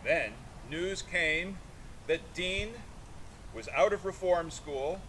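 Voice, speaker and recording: A man's voice: slow, pushed and bright. A man giving a live literary reading outdoors, declaiming in short, broken phrases. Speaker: artist reading aloud and taking questions. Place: outdoors in a sculpture park. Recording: noisy outdoor recording with vehicle rumble.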